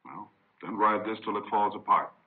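Man playing gruff police chief, deep voice, American, brisk authority, noisy narrow-band vintage radio. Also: lightly acted. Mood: commanding. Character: gruff, commanding male chief of detectives. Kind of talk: 1950s radio acting.

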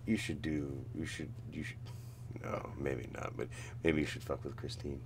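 A man's voice: extremely low pitch, steady tone, normal speed. A middle-aged man hosting a casual reaction stream, reading on-screen text aloud and chatting in a muttering, wry way. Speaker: host of a reaction show. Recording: noisy home livestream audio.

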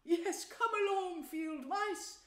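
A woman plays a gentle little storybook mole, a high-voiced fellow who wears his feelings openly. He speaks earnestly and eagerly, in a room with plenty of echo. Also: animated, moderate pace. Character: gentle male mole, proud, eager, easily distressed host. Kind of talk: children's storybook character reading.

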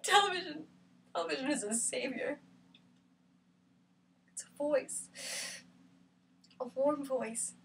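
A high female voice, recorded at some distance from the mic, an actress playing a lonely and bitter woman in a stage monologue. Her delivery is intense and halting, raw with hurt, moving between hushed words and outcry. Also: animated, vocal fry, slight background noise, exaggerated voice. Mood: anguished, pleading. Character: lonely, bitter, wounded woman who feels ugly. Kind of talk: theatrical monologue performance.

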